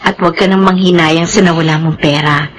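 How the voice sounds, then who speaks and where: A woman's voice: sits low, steady tone, a little fast, lightly acted. A woman playing a devout, practical companion in a radio drama, speaking in a reassuring way. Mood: soothing.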